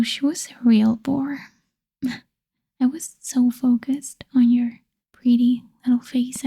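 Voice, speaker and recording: A woman playing an obsessive, lovestruck female vampire in a whispered ASMR roleplay, breathy, coaxing and possessive, seductive with quiet menace. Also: high voice, exaggerated voice. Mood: cold, sweet menace.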